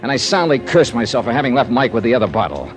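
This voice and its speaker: An American actor playing a tough, earnest insurance investigator, clipped and urgent, in a 1950s radio detective drama. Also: male voice, mid-range voice, very animated, fast talker, natural voice. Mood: brisk. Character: tough, earnest male insurance investigator, narrating his case. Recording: old radio recording with music.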